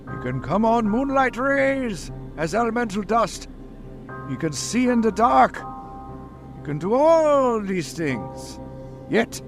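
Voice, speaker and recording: High-voiced, portentous vampire-hunting professor. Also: male voice, heavily acted. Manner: declamatory, portentous, measured phrasing. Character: grave, resolute male professor leading vampire hunters. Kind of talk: vintage radio drama acting.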